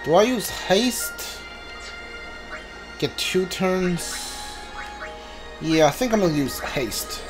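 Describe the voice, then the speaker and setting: Male streamer, casual game commentary, slightly high voice, game music behind him. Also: expressive, slow pace, pauses often. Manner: thinking aloud in short muttered remarks. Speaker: let's play streamer. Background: video game music and sounds.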